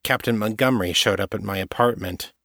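Blunt young woman cop, glum, frustrated, a man doing her in a clearly raised voice, dry close sound. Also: fairly low pitch, a little fast, a bit bright, no room sound.

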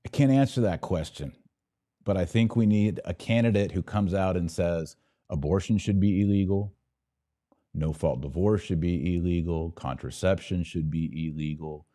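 A man's voice: low-pitched, normal speed, dark tone, no echo, clean studio sound. An American man hosting a live commentary podcast, opinionated and conversational, repeating himself for emphasis as he answers viewer comments.

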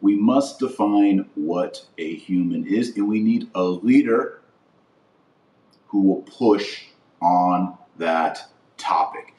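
Low-voiced American man, echoey and distant. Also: darkish tone. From United States. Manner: emphatic, declarative, with short deliberate phrases. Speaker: podcast host. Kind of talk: scripted political monologue. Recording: roomy echo, distant microphone.

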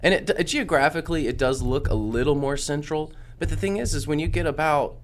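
A man's voice: on the high side, a little fast, slight background noise. A man and pastor with a head cold, talking casually on a podcast in a studio, explaining with lots of fillers and restarts.

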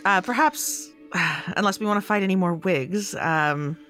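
A woman's voice: mid-range voice, measured pace, fairly bright tone, soft background music. A woman talking casually as a player in a tabletop role-playing game.

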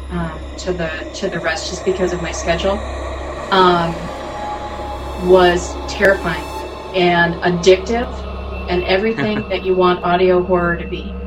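A woman talking casually on a panel, phrasing her points with deliberate emphasis. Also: on the low side, background noise. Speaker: audio drama producer and panelist. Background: music.

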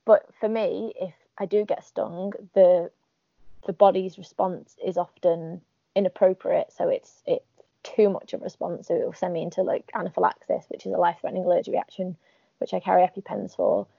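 A woman's voice: fairly low pitch, steady tone, a little fast, vocal fry, no room sound, dull audio. A woman from Northern England with a Northern English accent, chatting casually and wryly as a podcast guest. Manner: chatty, wry, laughs at herself. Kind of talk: casual interview chat.

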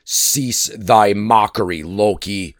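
A man voicing a mighty, proud thunder god from a myth, rough and blustering in manner. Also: fairly low pitch, animated, slow pace, no room sound, clean studio sound. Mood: indignant. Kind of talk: dramatic myth storytelling.

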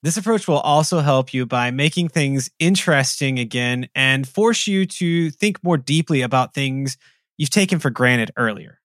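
A man in casual conversation, speaking at a middling pitch with an easy, rambling delivery full of small fillers. He sounds close and dry, with no room around his voice. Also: moderate pace, no room sound. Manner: relaxed, rambling with frequent "like" fillers. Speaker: podcast co-host.

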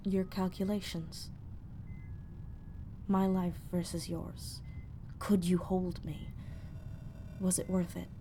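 A traumatized woman space explorer in a sci-fi horror audio drama. She speaks slowly and haltingly, haunted and betrayed, slipping into whispers. A faint rumbling noise sits under her voice. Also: flat delivery, mellow. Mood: hurt, accusing.

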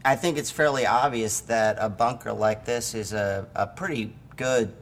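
A man's voice: mid-range voice, normal speed, slight background noise. Guy rambling along folksy-like, restarts a lot, plain middle voice. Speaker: improv player in character. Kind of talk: improvised comedy banter.

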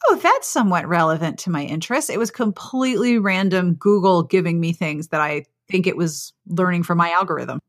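A woman's voice: fairly low pitch, fairly quick, hardly any pauses. A woman hosting a casual podcast interview, talking in an informal, effusive, slangy and self-deprecating way. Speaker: podcast host interviewing guests.

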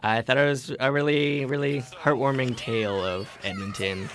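A man's voice: medium pitch, steady tone, moderate pace, noisy background. A man chatting casually as a podcast host.

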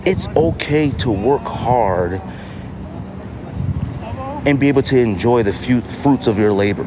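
A man's voice: medium pitch, lively intonation, unhurried, background noise. A middle-aged American man talking casually and earnestly as a solo podcast host, repeating phrases for emphasis. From Inglewood, California, United States.

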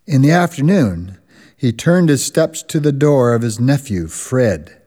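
An actor narrating a Christmas radio drama as a storyteller, measured and expressive. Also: male voice, medium pitch. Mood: measured storytelling. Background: music.

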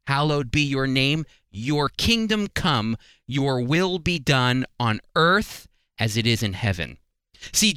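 A man's voice: fairly high pitch, sharp tone, clean tone, no echo, studio quality. A man teaching the Bible as a radio host in a conversational, earnest and explanatory way.

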